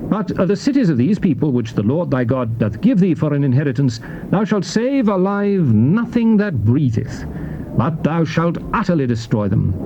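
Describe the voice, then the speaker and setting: A man reading scripture in archaic English as a solemn dramatized narration, with a declamatory, measured delivery. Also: mid-range voice. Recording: dull, noisy old cassette tape recording.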